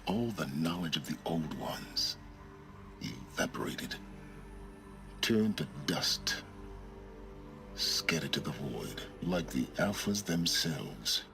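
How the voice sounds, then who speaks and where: An actor playing a secretive, scheming male scholar in a video game, speaking gravely and cryptically. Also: sits low, fairly bright tone, heavily acted.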